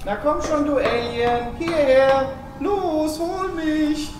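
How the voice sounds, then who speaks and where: A man's voice: extremely high pitch, slow, recorded from a distance. Guy acting whiny nervous sci-fi comedy hero, echoey, music behind him. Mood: excited, panicked. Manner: whiny, self-deprecating, jokey. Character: nervous, grumbling reluctant young podcaster turned alien fighter. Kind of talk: amateur sci-fi comedy audio drama acting.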